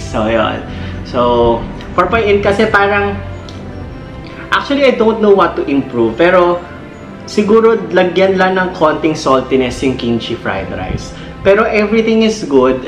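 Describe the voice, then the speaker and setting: A Filipino food vlogger chatting casually through a food review while eating, switching between Tagalog and English mid-sentence. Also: medium pitch. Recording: roomy echo, music underneath. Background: background music.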